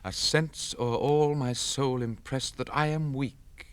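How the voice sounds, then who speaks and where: A man giving a solemn, intense dramatic recitation of classic poetry, with measured, theatrical stage diction. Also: medium pitch, fairly even intonation, clear, resonant tone, no room sound. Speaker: poetry reader. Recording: close, dry 1960s spoken-word record.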